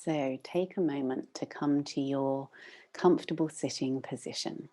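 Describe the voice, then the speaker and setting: A woman meditation teacher leading a guided practice, instructing gently and unhurriedly with long pauses. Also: rumbling low.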